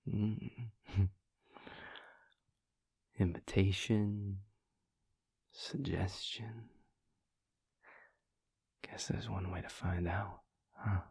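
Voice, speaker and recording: A man playing a flirty but tender werewolf in an intimate romantic audio roleplay, speaking in a husky, breathy murmur with a halting, teasing manner. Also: low-pitched, steady tone, darkish tone, dry recording, clean studio sound, full character voice. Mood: playful, suggestive. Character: flirty, feisty male werewolf, tender and earnest underneath.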